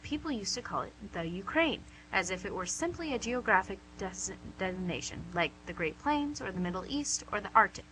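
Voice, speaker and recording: Woman for suave devil boss lady, radio comedy. Bright, crisp condescension, pedantic, slightly noisy recording with hum. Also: medium pitch, big pitch swings, fairly quick, hardly any pauses. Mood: pedantic, scolding. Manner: pedantic, condescending, mock-polite. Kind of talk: amateur radio comedy acting.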